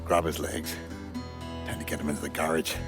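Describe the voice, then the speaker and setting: An actor playing a cocky, foul-mouthed, vengeful Irish gangster in a video game cutscene, with rough colloquial delivery and a darkly jovial streak. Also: male voice, rumbling low, little pitch movement, fairly quick. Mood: menacing. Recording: loud music under the dialogue.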